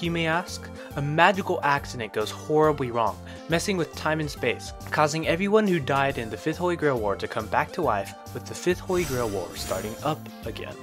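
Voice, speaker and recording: A man's voice: fairly high pitch, fairly bright tone. A man reading a scripted game review as a narrator. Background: music under the voice.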